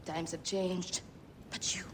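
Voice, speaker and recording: A woman's voice: on the low side, flat delivery, takes it slowly. A woman playing a caring but bossy friend and healer in a game cutscene, fussing and firm. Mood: tender. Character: caring, bossy female friend tending a fighter's wounds. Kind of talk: video game cutscene acting. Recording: clearly noisy background.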